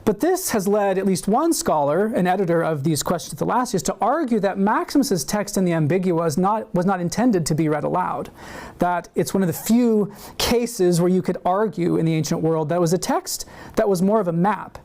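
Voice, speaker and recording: A man giving a university lecture, reading a prepared paper in a measured, deliberate way with occasional fillers. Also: sits high, expressive, darkish tone. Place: university seminar room.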